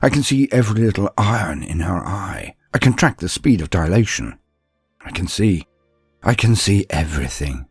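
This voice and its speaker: Man acting young male apprentice, earnest, introspective, first-person sci-fi story, low voice, faint music. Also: animated, normal speed, husky, no echo, clean studio sound, full character voice. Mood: confiding, wondering. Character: young male apprentice of twenty-one, earnest, wounded, deferential.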